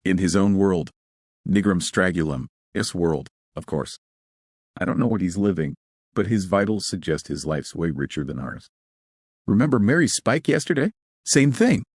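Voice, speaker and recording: A man's voice: low-pitched, lively intonation, normal speed, a little mellow, slightly rough, no echo, studio quality. A man voicing a jumpy, chatty male technician who explains things casually in an audio story. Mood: casual, musing.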